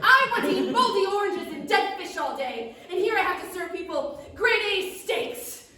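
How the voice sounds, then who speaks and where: A squeaky little gnome alchemist, put on by a woman, bursting with glee and hungry for fame. He giggles and brags in a high, bright voice. The sound carries through a very echoey room, picked up from well away from the mic. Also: lively intonation, moderate pace, recorded from a distance, full character voice. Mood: excited boastful.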